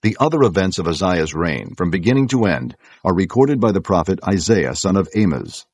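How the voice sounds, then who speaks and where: A man narrating scripture from a script in a solemn, measured storytelling manner. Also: sits low, sing-song, darkish tone, slightly rough, no echo.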